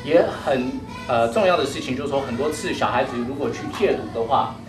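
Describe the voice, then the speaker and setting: A man interpreting a speech live, hesitant and filling pauses with filler sounds. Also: medium pitch. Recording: echoey room, distant mic, music behind.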